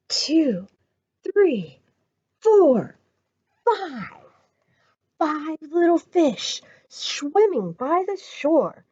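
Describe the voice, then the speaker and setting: Casting: American woman as children's storytime reader, very slow and encouraging, widely swooping pitch, dull lo-fi sound. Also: no echo. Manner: sing-song, encouraging, addresses children directly. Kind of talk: children's read-aloud and counting rhymes. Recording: dull lo-fi audio.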